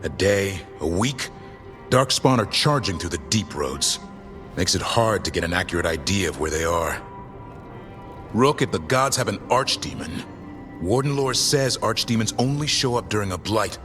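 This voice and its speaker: A wary warrior scout for a fantasy game. His pitch is fairly low, his phrasing clipped and plain, dutiful in tone, with soft music behind. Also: animated, moderate pace. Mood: wary, concerned.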